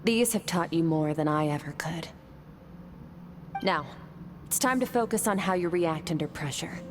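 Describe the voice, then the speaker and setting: A cool, composed sword mentor. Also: female voice, deep voice, moderate pace, a little hiss or hum. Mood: calm. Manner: even, composed, instructive. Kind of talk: game voice acting.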